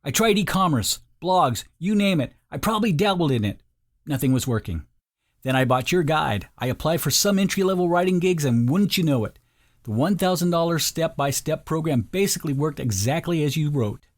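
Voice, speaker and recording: A man's voice: a little high, lively intonation, moderate pace, pitched above the performer's own voice, close to an everyday voice. A man voicing an earnest, enthusiastic young male customer in his twenties reading out a grateful testimonial. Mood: earnest, relieved. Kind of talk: acted testimonial read.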